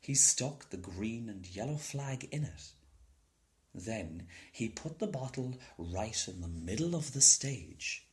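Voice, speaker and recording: A man's voice: mid-range voice, expressive, slow pace. A man reading a children's story aloud as scripted narration. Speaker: storybook reader.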